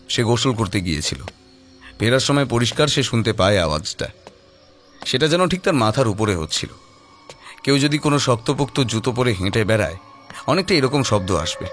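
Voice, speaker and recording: A man's voice: medium pitch, a bit bright. Male horror narrator over music. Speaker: story narrator. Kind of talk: dramatic scripted horror narration. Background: prominent background music.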